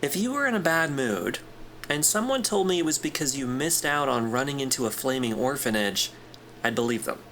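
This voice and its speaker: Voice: medium pitch, lively intonation, fairly quick, a bit bright, slight background noise. An actor playing a glib, friendly corporate clerk in a sci-fi audio drama, breezy, wry and casual in a naturalistic style. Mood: amused, coaxing. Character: glib, teasing, friendly corporate clerk smoothing things over.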